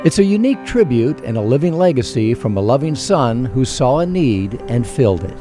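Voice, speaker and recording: A man's voice: medium pitch, big pitch swings, fairly quick, warm tone, faint music behind. A man narrating a scripted television feature as one of the show's hosts. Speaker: TV host and narrator.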